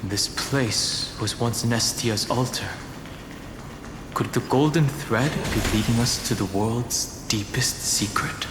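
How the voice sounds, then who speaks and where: A man playing a cool, analytical scholar in a game, musing in a measured, self-assured and articulate way. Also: medium pitch, noisy background, close to an everyday voice. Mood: intrigued, pondering. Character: cool, analytical scholar pondering ancient secrets. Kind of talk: dramatic game monologue. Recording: hall reverb with music behind.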